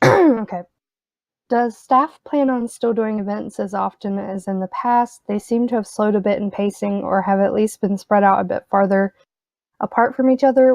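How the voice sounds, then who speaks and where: A woman's voice: mid-range voice, darkish tone, no room sound. A woman on community staff, reading out and answering questions casually, joking between answers. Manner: casual, joking, with light laughs.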